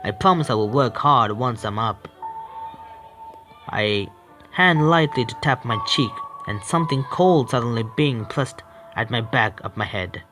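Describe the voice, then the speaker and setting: Indian english guy reading game text out loud, stumbles a lot, quiet music behind. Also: medium pitch, expressive. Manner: halting, stumbling read with restarts. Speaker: gaming streamer. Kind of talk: reading a visual novel aloud.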